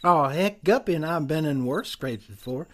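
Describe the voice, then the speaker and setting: A loyal, folksy cowboy sidekick from a cartoon Western. The voice is high for a man, with a Western drawl and big swings in pitch. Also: expressive, normal speed, fairly bright tone. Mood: upbeat. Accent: American Western drawl, put on. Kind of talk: audio drama acting, cartoon Western.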